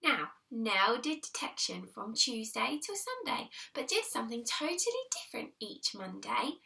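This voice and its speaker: A woman reading a children's picture book aloud in an expressive, deliberate storytelling manner, leaning on the rhymes. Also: fairly high pitch, creaky, far from the mic. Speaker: primary school teacher reading aloud.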